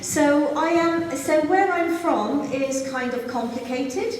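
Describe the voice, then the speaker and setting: A woman's voice: high voice, slow pace, slight background noise. A woman from Zambia doing a stand-up comedy set in a club, playful and giggling at her own jokes. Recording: echoey club sound from far off.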